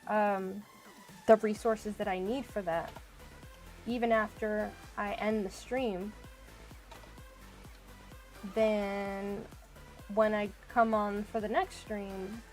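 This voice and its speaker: A woman's voice: medium pitch, little pitch movement, takes it slowly, long pauses, soft background music. A woman streaming a video game, chatting casually and thinking aloud as she plays. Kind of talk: casual live-stream chat while playing.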